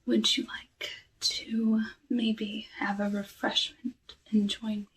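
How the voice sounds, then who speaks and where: A woman playing a lonely, courteous, slyly menacing forest witch in an ASMR roleplay, speaking softly and often whispering in formal, deliberate phrases. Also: medium pitch, level tone, far from the mic, rough recording. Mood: hushed, welcoming. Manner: hushed, slow, deliberate, formal old-fashioned phrasing.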